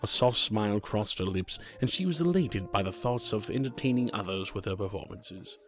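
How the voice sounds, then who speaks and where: A man performs as a fantasy storyteller with a fairly low voice. He reads measured, scripted narration, with faint music underneath. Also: expressive, lightly acted. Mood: calm.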